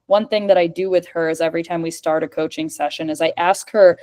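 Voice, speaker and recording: Low-voiced woman coach on a video call. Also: fairly even intonation, quick pace, a little mellow. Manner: chatty, fillers of "like". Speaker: speech-language pathologist and communication coach. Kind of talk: casual Q&A answer.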